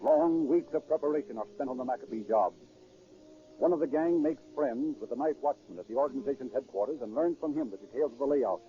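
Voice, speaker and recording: A man's voice: mid-range voice, fast talker, noisy background, close to an everyday voice. A man acting as a theatrical, portentous narrator in a 1930s radio crime drama. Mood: dramatic. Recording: muffled 1930s radio recording.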